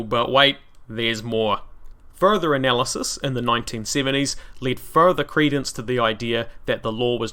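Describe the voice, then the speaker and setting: New Zealand male podcast host, bright timbre, scripted history reads with cheeky asides. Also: medium pitch. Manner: wry, casually irreverent asides.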